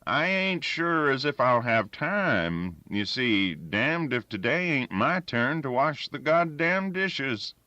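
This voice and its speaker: A man's voice: medium pitch, lively intonation, takes it slowly, hardly any pauses, sharp tone, dry recording, highs cut off, voice pushed up. A man voicing a crafty old backwoods storyteller in a folksy rural dialect. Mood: wheedling. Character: crafty old backwoods male yarn-spinner.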